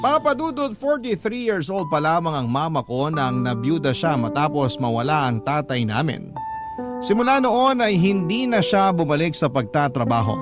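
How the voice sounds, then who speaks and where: Radio drama narration: plaintive, confiding letter writer, slightly high, clear music bed. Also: male voice, very animated, moderate pace. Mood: reflective. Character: troubled adult child and parent narrating family woes in a letter. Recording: prominent music bed under voice.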